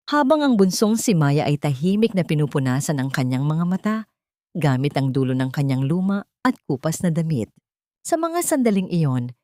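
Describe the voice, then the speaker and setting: Woman, lowish voice, dramatic audiobook narration, scripted, dry close sound. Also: a little mellow, no echo.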